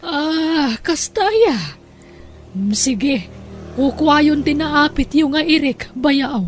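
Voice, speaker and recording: A woman playing a kindly, generous sister-in-law, talking gently and solicitously in an audio drama. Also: high-pitched, expressive, drawn-out words, full character voice. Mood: welcoming. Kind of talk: conversational audio drama acting.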